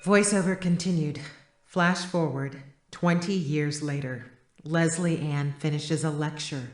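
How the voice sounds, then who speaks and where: An actress playing an intrusive voice-over in an audio play, deliberate and commanding as it narrates and scolds. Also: female voice, sits low. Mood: matter-of-fact. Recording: strong echo on the voice.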